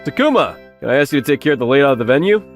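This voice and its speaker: Man acting effusive senior colleague, lively swooping pitch. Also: racing, faint music behind. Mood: jovial. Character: hearty, flattering male senior colleague, teasing matchmaker. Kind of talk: anime-style dub acting.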